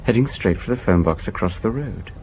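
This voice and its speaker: An actor playing a young police sergeant in a radio drama, watchful and terse, reporting in clipped phrases. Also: male voice, on the low side, expressive, fairly quick, darkish tone, a little hiss or hum. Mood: watchful, tense.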